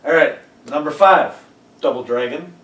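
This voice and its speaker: A man's voice: on the high side, normal speed, a bit bright. A man co-hosting a casual group chat, blunt and sarcastic with a mock-boastful manner. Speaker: podcast co-host. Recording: echoey room, distant microphone, background noise.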